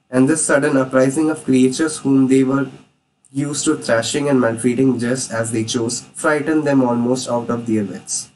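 Male reader for a lesson recording, steady plain narration, mid pitch, mic a bit far off, faint hiss behind. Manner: steady reading with small slips and restarts. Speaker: narrator reading a novel aloud for learners. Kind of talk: plain read-aloud narration.

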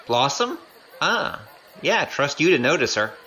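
An actor playing a cheeky, roguish young gambler with a wry manner, in an audio drama. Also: male voice, fairly high pitch, measured pace, a little hiss or hum, heavily acted. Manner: animated, wry.

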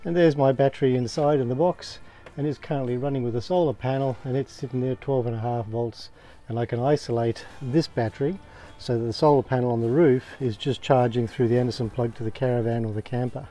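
Mellow Australian man, easygoing talk. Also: mid-range voice, steady tone. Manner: plain, unhurried, many ums and uhs. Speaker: camping vlogger. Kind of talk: casual walkthrough to camera. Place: outdoors, backyard by a caravan.

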